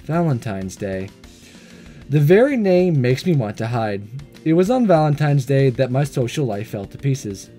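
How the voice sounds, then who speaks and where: A man reading a visual novel aloud and commenting on it in a playful, excitable, self-deprecating way. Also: medium pitch, normal speed. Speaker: gaming video host. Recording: music under the voice.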